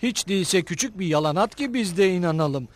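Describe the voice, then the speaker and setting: Rude caravan hand, high voice, sneering, bullying, taunting, scornful, cartoon dub. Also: male voice, animated, brisk, a little hiss or hum. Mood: mocking. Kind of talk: cartoon dubbing.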